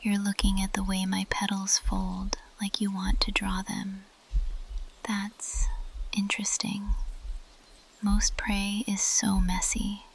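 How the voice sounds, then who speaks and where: A woman performs as an ancient female plant monster in an ASMR roleplay. She whispers in a breathy, creaky voice, unhurried and intimate. Her manner is teasing, possessive and predatory, with seductive curiosity. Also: medium pitch, monotone, slight background noise, rough recording. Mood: predatory fascination. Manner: intimate, teasing, slow and deliberate.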